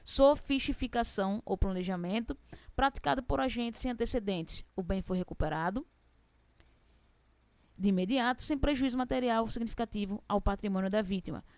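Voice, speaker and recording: A young woman with a strong regional accent teaching law for civil service exams, fast-talking and chatty, asking questions and answering them herself. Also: a little high, a little mellow, no room sound. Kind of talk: exam prep lesson.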